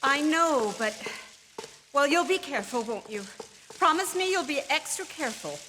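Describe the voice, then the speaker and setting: Fretful high-voiced mother, radio drama. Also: animated, moderate pace, bright, forceful tone, a little hiss or hum. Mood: worried, tender. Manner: pleading, hesitant, fussing. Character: fretful, loving mother of a grown son. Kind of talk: old-time radio drama acting.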